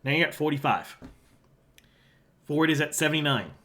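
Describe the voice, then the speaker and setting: A man's voice: on the high side, lively intonation, moderate pace. A man from Halifax with a sore throat, giving casual solo commentary as he walks through an election forecast map. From Halifax, Canada. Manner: casual and conversational, drops quieter aside asides while pointing at a map. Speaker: election analyst, channel host.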